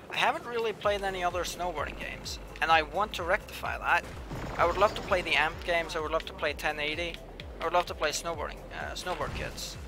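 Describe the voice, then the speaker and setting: A young man with a slightly high, somewhat whiny voice talks casually to his viewers while he plays. He trails into quiet asides to himself, and music plays clearly behind him. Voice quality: says he has a whiny voice. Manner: chatty, mumbles asides to himself while playing. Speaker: game streamer. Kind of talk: casual live-stream commentary, reading chat. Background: background music.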